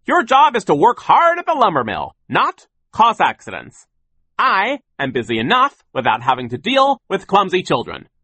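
A man voicing a gruff, impatient male boss in an audiobook story, barking dismissively and emphatically. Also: high-pitched, sing-song, a little slow, pushed and bright, no echo, voice pushed up, full character voice. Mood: angry, yelling.

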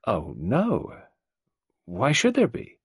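Brief: calm young wife, soft and even, flat and guarded, as a male narrator puts her on. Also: medium pitch, slow pace, slightly rough, dry recording, studio quality, voice pushed up, heavily acted. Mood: restrained. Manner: gentle, expressionless, even.

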